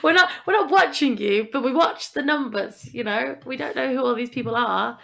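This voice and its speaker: A woman with a slightly high voice and a marked creak co-hosts a podcast. Her delivery is informal and chatty, as in a casual conversation. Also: moderate pace, fairly bright tone. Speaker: podcast co-host.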